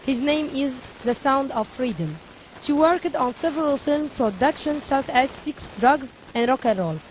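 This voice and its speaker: A woman's voice: high-pitched, a little slow, a little hiss or hum, rough recording. A woman hosting an interview, giving a prepared introduction and asking questions. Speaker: interviewer, host.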